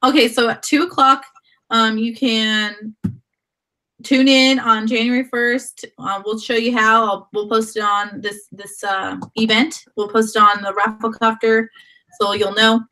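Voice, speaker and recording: A woman with a slightly high voice hosts a live online chat. She has a sore throat from a cold, and she rambles and restarts her sentences as she talks over a video call. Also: little pitch movement, measured pace, pushed and bright, poor audio. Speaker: host.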